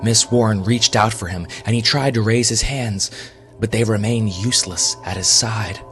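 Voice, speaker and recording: Male horror podcast host and narrator, lower register, music bed behind. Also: moderate pace, a bit bright.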